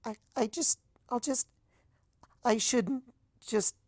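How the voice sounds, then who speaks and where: A woman plays a young female pony from a storybook, meek and heartbroken. The voice is pitched up high and pleads earnestly, halting and apologetic. The recording is close and dry. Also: darkish tone, dry recording. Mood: crushed, faltering. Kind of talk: dramatised fan fiction reading.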